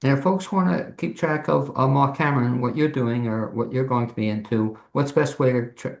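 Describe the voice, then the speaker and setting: A man hosting a podcast interview, asking his questions politely and a little haltingly. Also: mid-range voice, creaky. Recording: roomy, echoey sound.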